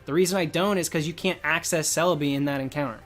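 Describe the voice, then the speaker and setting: A man's voice: fairly high pitch, fast talker, a bit bright. A man live streaming a game, explaining his opinions in casual, informal chat while his voice starts to give out. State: losing his voice. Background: music.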